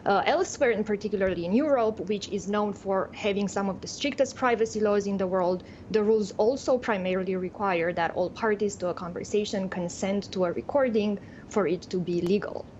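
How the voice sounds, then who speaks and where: Formal woman expert on dull, faintly noisy audio. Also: a little mellow. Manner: measured, formal. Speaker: privacy policy expert, guest. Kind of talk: formal prepared expert explanation. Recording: dull remote link, faint rumbling noise.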